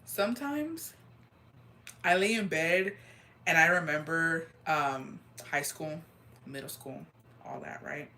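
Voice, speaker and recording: A deep-voiced woman from Texas chatting casually and gossiping on a livestream, slangy and loose, dropping in Spanish phrases. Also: takes it slowly, pauses often, bright, forceful tone. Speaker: livestreamer reading viewer comments.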